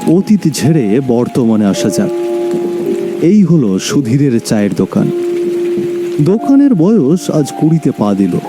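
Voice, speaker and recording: A man narrating a scripted dramatic story in a solemn, deliberate way, with music behind him. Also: medium pitch, very animated.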